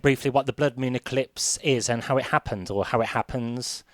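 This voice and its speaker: A man asking interview questions as a radio presenter, in a hesitant, halting way. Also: medium pitch, no room sound, clean studio sound.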